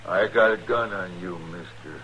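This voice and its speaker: Casting: man acting as suspicious poor western homesteader, rustic grumble, low pitch, very noisy vintage radio drama. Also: normal speed, natural voice. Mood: surly, hostile. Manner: rustic drawling, grumbling speech. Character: surly, suspicious poor frontier father, hostile, grudging. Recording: very noisy old radio recording.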